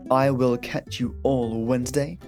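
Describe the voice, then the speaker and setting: A man's voice: mid-range voice, steady tone, unhurried. A man hosting a podcast, giving a chatty scripted introduction in a wry, friendly manner. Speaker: podcast host. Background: plucked guitar music.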